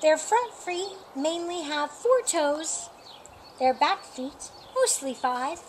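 High-pitched woman reading slowly to children. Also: expressive. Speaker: storytime reader. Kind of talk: reading a rhyming children's picture book aloud. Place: outdoors in a sunny yard by a painted wall. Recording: outdoor noise with birdsong. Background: birdsong and outdoor ambience.

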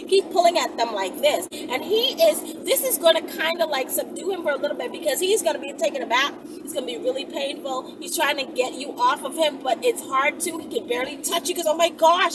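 A woman in her thirties giving casual advice to camera in a blunt, emphatic, sweary way. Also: high-pitched. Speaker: vlog host. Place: inside a car on the road. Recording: distant mic, clear car road noise. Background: vehicle noise.

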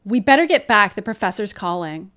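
A woman's voice: mid-range voice, sing-song, fairly quick, a bit bright. A woman reading a script casually on a home video call, breaking in with dry, critical asides. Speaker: table-read participant reading lines and directions. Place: indoor room at home, on a group video call.

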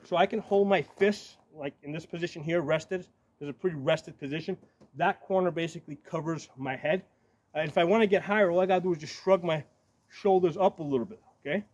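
A man's voice: fairly high pitch, fairly quick, darkish tone, dull audio. A man in his forties giving a casual, hands-on demonstration to camera outdoors, explaining step by step and often checking in with "right?" or "okay?". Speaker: medieval combat fighter demonstrating shields. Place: outdoors on a gravel yard by woods. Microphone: camera a few metres away. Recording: noisy outdoor camera audio.

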